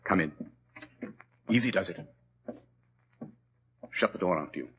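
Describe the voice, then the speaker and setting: An actor playing a cool, commanding middle-aged detective in 1940s radio drama style, brisk and clipped. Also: male voice, sits low, animated, fairly bright tone, highs cut off, slight background noise. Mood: hushed.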